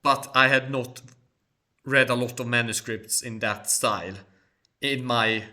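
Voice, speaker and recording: Formal Swedish-native man, bright tone. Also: mid-range voice, steady tone. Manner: measured, formal phrasing. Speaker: channel host. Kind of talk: solo Q&A monologue.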